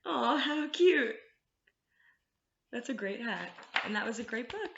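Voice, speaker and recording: A woman hosting storytime as a children's librarian, expressive and encouraging as she reads and explains to little ones. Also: fairly high pitch, slow pace, gravelly, noisy background. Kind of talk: storytime read-aloud and teaching rhymes to children. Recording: echoey room, slightly muffled sound.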